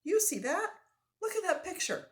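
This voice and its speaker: A woman's voice: very high-pitched, big pitch swings, normal speed, pitched above the performer's own voice. A woman voicing an exuberant French-Canadian lumberjack in a storybook, with a put-on French accent and emphatic broken English. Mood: excited, amazed.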